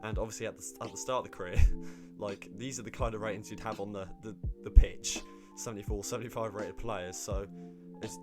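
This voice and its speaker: A man, slightly hoarse, rambling casually about his football game squad with lots of ums and restarts. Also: fairly low pitch, fairly even intonation, fairly quick, music in the background. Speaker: gaming YouTuber.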